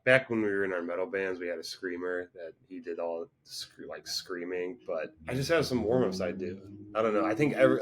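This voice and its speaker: A young man, a band's singer, chatting casually in an interview, rambling with lots of fillers and self-deprecating asides. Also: on the low side. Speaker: band singer, interview guest.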